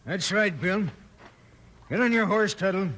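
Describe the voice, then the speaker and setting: An actor playing a stern, excitable sheriff in a 1950s radio western. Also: male voice, high-pitched, a little slow. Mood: stern, commanding. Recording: noisy, clicking 1950s radio recording.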